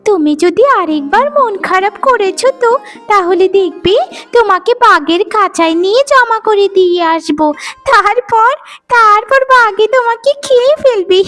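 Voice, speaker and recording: A woman's voice: very high voice, fairly bright tone, heavily acted. A woman voicing a cheeky, playful young forest friend in a cartoon, teasing in a sing-song way. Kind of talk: cartoon voice acting.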